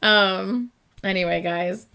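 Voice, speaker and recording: A young woman hosts a late-night reaction stream, talking easily with her viewers and laughing often. Her voice sits at a medium pitch and is starting to go, worn from hours of talking. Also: takes it slowly, gravelly, sharp tone, clean tone. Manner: chatty, laughs often, answers chat. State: losing her voice. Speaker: reaction channel host. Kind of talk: casual livestream chat with viewers.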